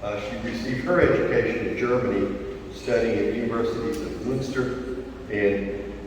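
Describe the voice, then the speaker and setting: A man hosting an event, introducing the guest speaker in a halting way with many filler sounds and a dry joke. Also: mid-range voice, fairly even intonation, slight background noise. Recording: distant mic in echoey hall.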